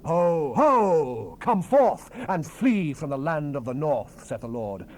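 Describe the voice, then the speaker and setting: A man's voice: a little high, very animated, slow, darkish tone. A man acting a fervent Bible prophet in a dramatized King James reading, solemn and declamatory, with archaic phrasing. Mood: fervent proclamation. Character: fervent male Bible prophet proclaiming visions and God's words. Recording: noisy unprocessed 1970s cassette rip.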